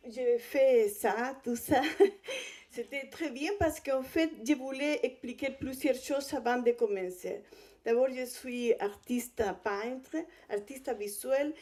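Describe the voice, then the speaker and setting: Female guest speaker, native Spanish with Chilean accent. Unhurried, soft-edged tone, hesitant phrasing, presenting at a conference. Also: a little high. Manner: hesitant, halting delivery with non-native phrasing. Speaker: guest speaker, visual artist.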